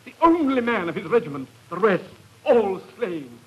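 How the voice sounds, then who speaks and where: An actor with a British accent playing a pompous, cowardly, blustering gentleman in a 1950s radio drama. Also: high voice, sing-song, moderate pace, lo-fi sound, full character voice. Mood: panicked. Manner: blustering, stammering. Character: pompous, cowardly, greedy military-posing English gentleman. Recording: hissy 1950s radio recording, rough sound.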